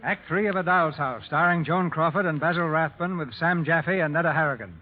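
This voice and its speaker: A man hosting and narrating a scripted 1930s radio show in a formal, measured announcer's delivery, on a muffled old broadcast. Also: on the high side, loud music behind the voice.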